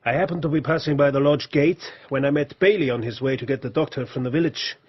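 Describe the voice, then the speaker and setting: An actor playing a grave, authoritative doctor, formal and commanding, in a radio drama. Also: male voice, medium pitch, quick pace, breathy edge, dull audio, natural voice. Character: grave, authoritative foreign doctor, a poisons specialist.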